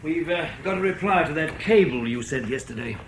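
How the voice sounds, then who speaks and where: An actor playing a methodical intelligence officer in a radio drama, talking in a colloquial, argumentative way. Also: male voice, fairly high pitch, expressive, a little fast, creaky, slight background noise, rough recording. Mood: courteous. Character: brisk, reasoning male intelligence station officer. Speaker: intelligence officer briefing a colleague.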